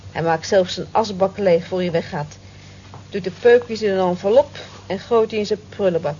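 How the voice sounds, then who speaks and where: A woman's voice: mid-range voice, moderate pace, dark tone, natural voice. An actress in an old radio play voicing a smitten, conscientious cleaning woman who talks earnestly and admiringly. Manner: earnest, admiring, defensive when teased. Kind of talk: vintage radio play acting. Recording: dull, hissy old radio play recording.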